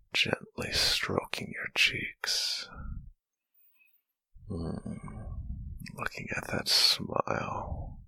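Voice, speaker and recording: Casting: male actor as tender, protective boyfriend for ASMR roleplay. Very low, gravelly whisper, close-miked and intimate. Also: pushed and bright, no room sound, exaggerated voice, poor audio. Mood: adoring, sensual. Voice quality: breathy, husky whisper. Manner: slow, tender murmuring with lingering hums. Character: adoring, sensual, protective male lover. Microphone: close mic, dry.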